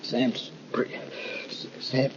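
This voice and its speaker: An actor playing a wounded, sarcastic gangster in an old radio drama, tough, dismissive and wisecracking. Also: male voice, slow pace, close to an everyday voice. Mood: fading. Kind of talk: 1940s radio crime drama acting. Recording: noisy, muffled old radio recording.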